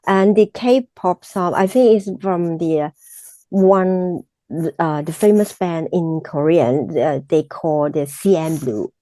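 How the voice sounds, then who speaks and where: A woman, a native Cantonese speaker, answering in a mock speaking exam in halting English with a Cantonese accent, often stopping to search for words. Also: lowish voice, dark tone, dry recording. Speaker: exam candidate.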